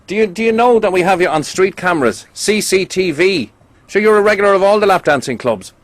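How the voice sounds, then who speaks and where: A man with an Irish accent playing an officious, deadpan taxi association official on a prank call, in hesitant, mock-formal language. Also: sits high, brisk, slight background noise. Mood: needling. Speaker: radio prank caller.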